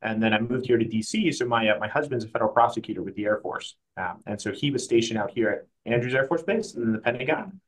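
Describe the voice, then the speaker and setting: Interview guest, man in his thirties, quick and chatty, mid-pitched. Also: rough recording. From Mexico. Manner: fast, chatty, self-correcting. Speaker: guest, private client advisor. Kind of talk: conversational interview.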